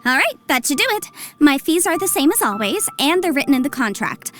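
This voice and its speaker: A young female legal adviser in an anime-style game, self-assured and articulate. Her high voice moves with lively inflection as she explains in a businesslike way, with music behind. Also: fairly quick.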